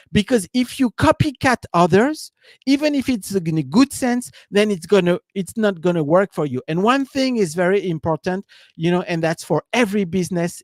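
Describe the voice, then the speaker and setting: A man's voice: sits high, dry recording. Rambling man with a French accent. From France. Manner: rambling, leans on "you know" and "right?". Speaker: business coach giving a live stream. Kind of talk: casual live-stream talk.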